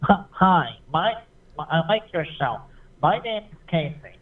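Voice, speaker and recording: A man's voice: a little high, very animated, measured pace, distant mic. Nasal male caller on a tinny phone line.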